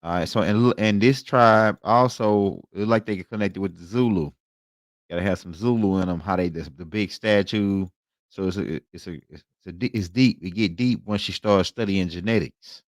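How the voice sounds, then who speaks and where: Deep-voiced American man lecturing informally. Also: moderate pace, dry recording, clean studio sound. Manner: colloquial, repeats phrases and checks in with "right?". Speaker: online lecturer, host. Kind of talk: informal lecture talking through slides.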